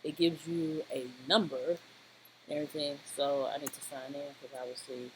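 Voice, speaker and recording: An informal software instructor with a medium-pitched voice talks through each step on screen. The delivery is rambling and halting, with frequent little check-ins. The recording sounds homemade, with noise behind the voice. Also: level tone. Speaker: tutorial presenter. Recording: clearly noisy home-style voice-over recording.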